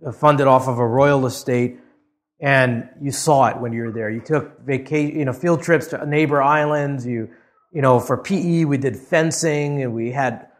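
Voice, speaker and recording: A man's voice: mid-range voice, moderate pace. A middle-aged man from Hawaii, preaching a sermon. He reads scripture aloud with rising emphasis, then talks conversationally with some fillers. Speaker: pastor. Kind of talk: expository sermon.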